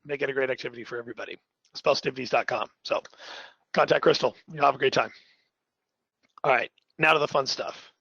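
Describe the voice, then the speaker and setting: A man with a cold-roughened, raspy voice presents a technical webinar in a relaxed, upbeat, conversational way. His recording sounds dry and a little dull, with no room echo. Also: mid-range voice, quick pace, long pauses. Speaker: technical trainer.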